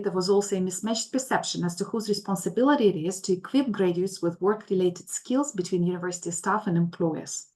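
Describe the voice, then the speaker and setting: A woman's voice: fairly low pitch, darkish tone, poor audio. A woman researcher summarizing study findings in a formal, scripted way during a webinar. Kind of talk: webinar presentation.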